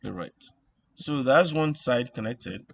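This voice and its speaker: Slow-talking man teaching, halting. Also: mid-range voice, expressive, vocal fry, a bit bright. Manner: halting, repeats phrases while working things out. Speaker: instructor. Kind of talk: informal electronics tutorial, thinking aloud.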